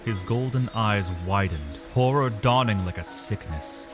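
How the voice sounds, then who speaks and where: A man's voice: on the low side, lively intonation, measured pace, fairly bright tone, soft background music. A man narrating a fantasy story in a dramatic, solemn, cinematic scripted style.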